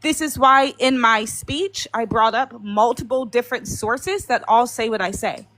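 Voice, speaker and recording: A woman's voice: fairly high pitch, measured pace, fairly bright tone, no room sound. A woman debating live online, defending herself and explaining a grammar point step by step in an insistent tone.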